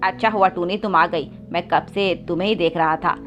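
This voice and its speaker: Woman doing a lower-voiced, protective young male parrot. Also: fairly even intonation, a little fast, a bit bright, muffled recording, soft background music, natural voice. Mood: concerned. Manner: reassuring, earnest, firm. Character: kind, protective young male parrot, big-brother student. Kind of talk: Hindi children's cartoon story acting.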